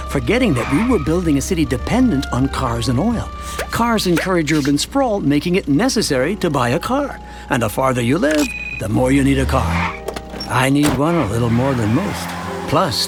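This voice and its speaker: A man playing a chatty, wry middle-aged suburban family man who narrates his town's story in an animated short. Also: on the high side. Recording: music behind the voice. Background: music.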